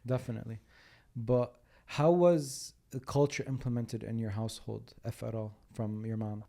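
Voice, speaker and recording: A man's voice: medium pitch, fairly even intonation, normal speed, gravelly, warm tone. A man hosting an interview, asking questions hesitantly with fillers like "like" and "um". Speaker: podcast host.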